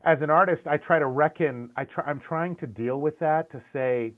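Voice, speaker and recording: A man's voice: fairly high pitch, normal speed. A middle-aged man, a concept art and animation director, talking candidly in a casual interview, often restarting and repeating words. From Syrian, grew up in the US. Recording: tinny phone-like call audio.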